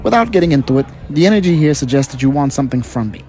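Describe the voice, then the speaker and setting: A man playing a blunt, guarded gambler deep in debt, in an audio drama. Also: expressive, racing, dark tone, soft background music, natural voice. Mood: guarded, irritated. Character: wary, indebted gambler, blunt and impatient.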